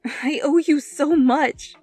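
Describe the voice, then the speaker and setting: A woman voicing an earnest, expressive schoolgirl heroine in an audio fan story. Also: high voice, slow pace, dry recording, faint music behind, pitched above the performer's own voice. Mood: grateful.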